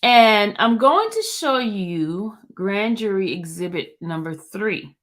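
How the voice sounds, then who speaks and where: Woman voicing a male prosecutor, formal, measured, slow delivery. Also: mid-range voice, animated, fairly bright tone. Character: male prosecutor questioning a witness.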